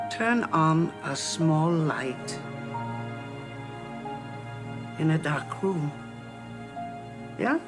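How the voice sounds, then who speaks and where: An actress playing an elderly, humble woman who sheltered refugees in wartime, speaking with an Austrian German accent in a soft, earnest, measured way. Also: sits low. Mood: tender. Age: older. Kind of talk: film drama.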